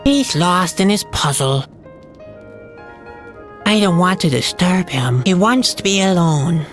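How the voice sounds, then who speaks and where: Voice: medium pitch, expressive, slow pace, faint music behind. An actor playing a questioning, sarcastic young adventurer in a video game, speaking in a wry, informal, nagging way. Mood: curious, polite. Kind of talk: video game character dialogue.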